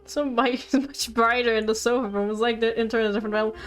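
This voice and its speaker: Woman, game livestream, higher-pitched, casual, playful, rambling chat, faint background music. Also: steady tone, fast talker. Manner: playful, rambling, talks to viewers and herself. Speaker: game streamer.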